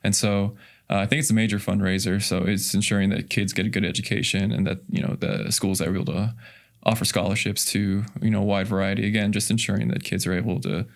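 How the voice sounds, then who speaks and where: A man's voice: on the low side, level tone, quick pace, gravelly. An American man from Kansas talking casually as an interview guest, explaining things informally. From Kansas, United States. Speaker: guest, festival committee chairman.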